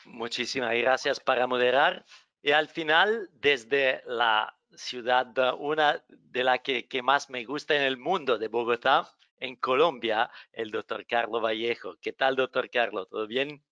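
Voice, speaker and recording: A man whose first language is Italian hosts a medical webinar in welcoming, slightly halting Spanish on a video call. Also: medium pitch, bright, forceful tone, no room sound, muffled recording. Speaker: webinar host for a medical company.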